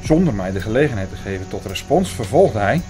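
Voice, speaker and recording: A man's voice: lowish voice, very animated, a little fast. Man acting skeptical storyteller Hugo, music behind. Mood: skeptical, reflective. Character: Hugo, skeptical male narrator and listening companion. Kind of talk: radio play narration and dialogue.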